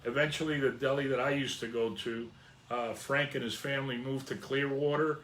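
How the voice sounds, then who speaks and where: Jersey guy in his 50s just reminiscing, lotta uhs, mid pitch, kinda echoey room. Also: normal speed, slight background noise. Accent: New Jersey. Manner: relaxed, many "uh" fillers, warms up excitedly about food. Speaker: vlogger. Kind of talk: casual reminiscing monologue.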